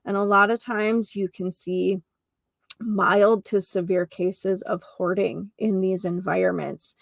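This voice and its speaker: Female podcast host, mid-pitched with noticeable vocal fry, unhurried, conversational delivery, speaks straight to the listener. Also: no room sound, clean studio sound. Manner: conversational, emphatic, addresses listener directly. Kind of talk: casual solo podcast talk with anecdotes.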